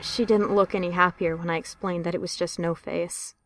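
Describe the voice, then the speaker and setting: A woman's voice: lowish voice, fairly even intonation, fairly quick, soft background music, low-quality recording. A woman giving a dramatic reading of a personal scary story as a storyteller.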